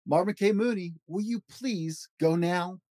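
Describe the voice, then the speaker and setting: A man's voice: high-pitched, a little slow, dark tone, no room sound, clean studio sound. A man hosting a children's story podcast, reading aloud expressively with an emphatic, rhythmic delivery.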